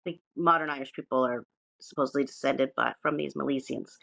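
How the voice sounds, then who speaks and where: A woman's voice: very deep voice, a little fast, vocal fry, a bit bright, no echo. A woman co-hosting a podcast, partly reading from notes and chatting casually, with sweary, self-mocking asides. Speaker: podcast co-host presenting research.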